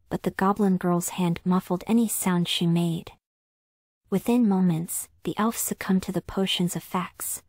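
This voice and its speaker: A woman narrating a scripted ASMR story in a soft, whispered delivery. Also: fairly low pitch, no echo, clean studio sound.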